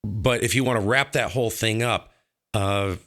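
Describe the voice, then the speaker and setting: Low, forceful male interviewer. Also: normal speed. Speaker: host of a ham radio channel. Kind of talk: casual interview.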